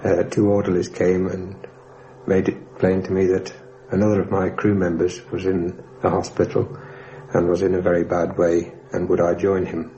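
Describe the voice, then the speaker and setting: A man, a former airman, giving a measured, formally worded recollection of the war in a documentary interview. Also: low-pitched, monotone, dark tone, lo-fi sound. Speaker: former RAF airman recalling his war experience. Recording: faintly hissy 1960s radio recording.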